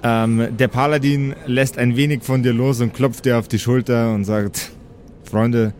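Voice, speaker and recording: Male game master, mid-pitched, improvised fantasy narration in a live session with slight background noise. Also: steady tone, normal speed, darkish tone.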